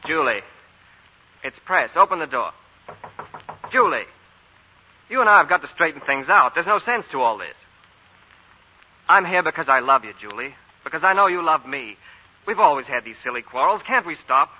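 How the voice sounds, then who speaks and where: An actor playing an earnest, exasperated banker and suitor in a 1940s radio drama, emphatic and theatrical. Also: male voice, on the high side, very animated, moderate pace, pauses often. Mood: firm, insistent. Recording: muffled 1940 radio broadcast, hall reverb.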